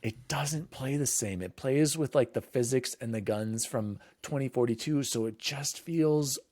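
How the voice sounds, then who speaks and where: A man's voice: mid-range voice, a little slow, darkish tone, no room sound, clean studio sound. A man hosting a gaming podcast, chatting casually and reading out news lists in an informal, conversational way. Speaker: podcast host.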